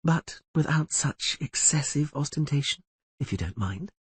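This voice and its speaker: A man voicing a proud, quick-tongued sorceress in an audiobook reading, in a husky voice with a teasing, commanding manner. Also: a little high, animated, no room sound, pitched above the performer's own voice.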